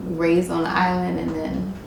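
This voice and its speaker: A woman with a lowish voice hosts a casual interview, encouraging her guest and chiming in often. Her voice carries a roomy echo and sounds a step away from the mic, with faint noise below. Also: completely flat delivery, moderate pace, gravelly, a little mellow, distant mic. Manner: encouraging, frequent backchannel. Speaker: interviewer host. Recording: echoey room, from afar.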